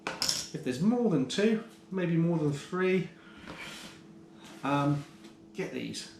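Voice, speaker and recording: A man's voice: fairly high pitch, animated, very slow, gravelly. A British man giving a casual, enthusiastic, explanatory product review to camera as a motorcycle vlogger. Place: indoors, in a kitchen. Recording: roomy echo, faint background noise.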